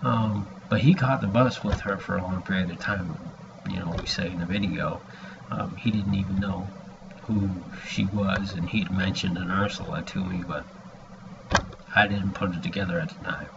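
A man in his forties with a gravelly voice, giving a halting, rambling personal message to a webcam at home. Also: low-pitched, steady tone. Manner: halting, hesitant, with long pauses. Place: small room indoors at home. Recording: dull lo-fi webcam audio, background noise.

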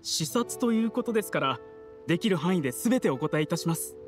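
A man's voice: high voice, animated, a little fast. An actor playing a polite, earnest male candidate in a Japanese fantasy video game, speaking formally. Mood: nervous, polite. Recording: music behind the voice.

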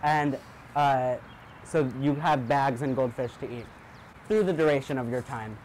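A man's voice: medium pitch, unhurried, noisy background. A young man organizing the game, explaining the rules in a matter-of-fact way.

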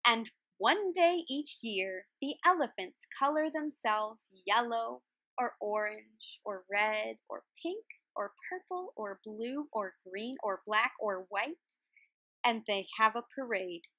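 Bright, high-pitched woman reading a storybook. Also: no echo, low-quality recording. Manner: expressive, dramatic, deliberate enunciation for children. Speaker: read-aloud storyteller, art teacher. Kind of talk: children's picture book read-aloud.

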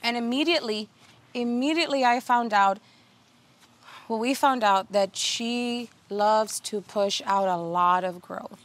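A woman's voice: fairly high pitch, a little slow, a bit bright. A woman in her thirties giving a casual tour of her home garden outdoors, talking in a chatty way with many fillers and restarts. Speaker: garden video host.

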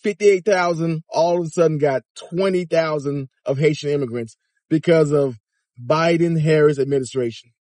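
A man's voice: on the high side, normal speed, no echo. A man in his fifties from Miami, talking animatedly to viewers from inside a car in a casual commentary vlog. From Miami, Florida. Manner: animated, chatty, addresses viewers directly. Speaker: vlogger. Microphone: phone or dash camera at arm's length.

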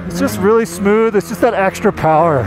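Stoked canadian dude on a sled in the backcountry, helmet cam, loud engine hum behind him. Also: male voice, sits high, brisk. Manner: enthusiastic, explaining while riding. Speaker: magazine editor reviewing a snowmobile. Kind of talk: casual ride-along commentary. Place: outdoors in deep snowy forest on a snowmobile. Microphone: helmet-mounted action camera. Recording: engine and wind noise.

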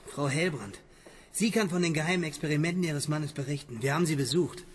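Man playing earnest, higher-voiced young man. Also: brisk, a little hiss or hum, close to an everyday voice. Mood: urgent. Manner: earnest, insistent. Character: earnest, eager young man, inquisitive and insistent. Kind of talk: radio drama dialogue.

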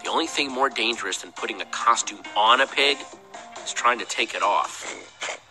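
A man playing an earnest, dryly funny young hero in conversational animated game dialogue. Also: mid-range voice, normal speed. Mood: dry, casual. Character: young male hero, earnest, wry, loyal friend. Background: music.

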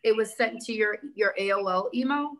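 A woman in her thirties with a New York accent hosting a town hall on a video call, talking in a brisk, organized, encouraging way. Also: mid-range voice, fairly bright tone. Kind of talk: moderating a video call meeting. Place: indoor room with big windows. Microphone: laptop webcam on a video call.

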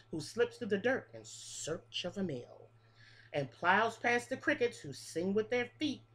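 A middle-aged woman reading a picture book aloud to preschool children in a sing-song rhythm, stopping to explain things, recorded close on a webcam in a small room. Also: medium pitch. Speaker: pre-K teacher. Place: small room with a classroom wall display. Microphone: webcam at close range.

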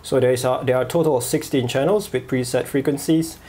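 A man reviewing a walkie-talkie at a desk, pausing often with fillers and restarts. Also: mid-range voice, a little mellow, a little hiss or hum. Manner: halting, many fillers and restarts. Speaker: gadget reviewer. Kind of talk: product review voice-over.

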